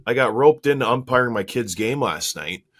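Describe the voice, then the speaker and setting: A man in his forties hosting a hockey podcast, slightly hoarse, telling self-mocking stories in a casual, animated way. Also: medium pitch, moderate pace. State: a little hoarse. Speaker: podcast host.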